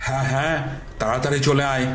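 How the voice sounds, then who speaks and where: A man's voice: mid-range voice, steady tone, quick pace, very noisy, close to an everyday voice. A man voicing a bullying cartoon kidnapper thug with a taunting manner. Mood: menacing. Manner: threatening, taunting. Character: menacing male kidnapper thug, bullying but cowardly. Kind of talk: Bengali cartoon voice acting. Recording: roomy echo with music underneath.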